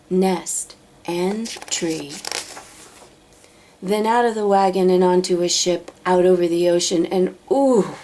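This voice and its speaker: A woman narrating a children's storybook aloud, expressively, with dramatic drawn-out emphasis. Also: medium pitch, a little mellow. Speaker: storybook reader.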